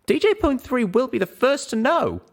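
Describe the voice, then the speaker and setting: A man's voice: very high voice, sing-song, moderate pace, creaky, pitched above the performer's own voice, full character voice. A man voicing a young female pony heroine in a story, earnest, bashful and hesitant. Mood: earnest, determined.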